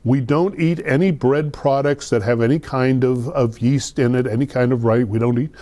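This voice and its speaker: A man's voice: medium pitch, moderate pace, dark tone. A man giving casual explanations as a guest, hesitantly searching for his words. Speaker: guest explaining holiday traditions.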